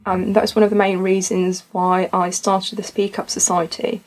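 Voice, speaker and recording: A woman's voice: medium pitch, level tone, normal speed, low-quality recording. A young woman from Tunisia, a student society founder, talking earnestly and reflectively in a podcast conversation.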